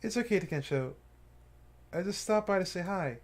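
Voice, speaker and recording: A man's voice: lively intonation, moderate pace. A man voicing a cheerful, clingy teenage girl from a visual novel, in a raised girlish voice that turns tearful. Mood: bubbly. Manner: high girlish voice, breaking into tearful pleading. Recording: faint game music behind.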